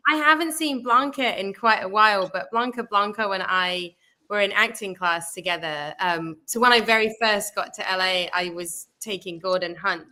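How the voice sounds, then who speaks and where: A woman from England, a guest actress, answering thoughtfully in a casual interview with many pauses. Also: medium pitch, bright, forceful tone, poor audio.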